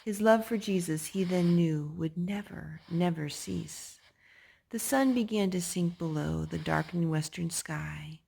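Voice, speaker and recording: A woman reading a rhyming children's story aloud in a deliberate storyteller's way, phrased for young children. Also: lowish voice, vocal fry, warm tone, a little hiss or hum.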